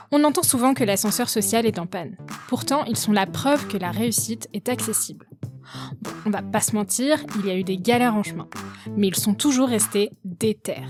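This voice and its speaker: Friendly woman podcast host. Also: mid-range voice. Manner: friendly, direct, addresses listener informally. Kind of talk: scripted podcast intro, then interviewing.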